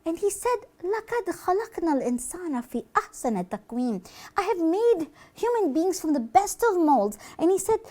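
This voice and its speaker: A woman's voice: extremely high pitch, big pitch swings, rapid-fire, dark tone, pitched above the performer's own voice. A woman voicing an earnest, astonished pupil who scolds the devil in a children's puppet show. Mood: accusing.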